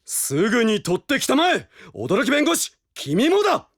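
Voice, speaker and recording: An actor playing a stern, haughty prosecutor who speaks in a formal, commanding way in a Japanese audio drama. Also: male voice, extremely high pitch, wildly swooping pitch, measured pace, no echo, clean studio sound, heavily acted. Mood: sharp, commanding.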